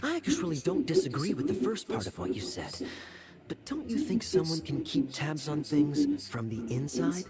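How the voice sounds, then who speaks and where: Game casting: playful, wry young charmer knight, swooping intonation, always bantering. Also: male voice, on the high side, huge pitch swings, moderate pace, over a noisy crowd. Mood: challenging. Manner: smooth-talking, wry, bantering. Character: suave, teasing young male knight, sly and playful.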